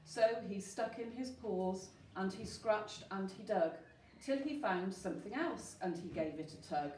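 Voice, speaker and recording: A woman tells a rhyming children's story at an unhurried pace. She reads expressively and asks the listeners questions. The sound is roomy and slightly distant, with faint noise. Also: mid-range voice, animated, darkish tone. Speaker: storyteller at a school.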